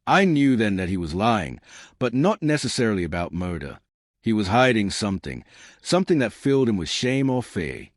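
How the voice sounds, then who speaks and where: A male audiobook narrator tells a scripted mystery in the first person. His voice sits fairly low and moves expressively, recorded close and dry. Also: very animated, moderate pace, no echo.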